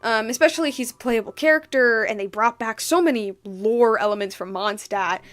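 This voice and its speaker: A woman's voice: a little high, moderate pace, a bit bright. A woman giving casual, unscripted game commentary as a streamer, rambling and emphatic, often repeating her phrases.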